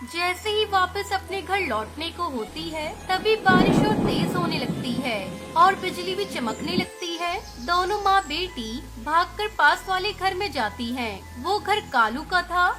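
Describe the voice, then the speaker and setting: A woman narrates a children's animal tale from a script. Her voice is extremely high, and music plays clearly behind the storytelling. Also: animated, a little slow, few pauses.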